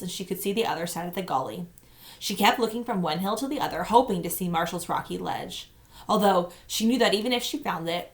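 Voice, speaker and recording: Female teacher-narrator, slightly low register, expressive storytelling for young listeners. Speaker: teacher reading aloud. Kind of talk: children's novel read-aloud narration.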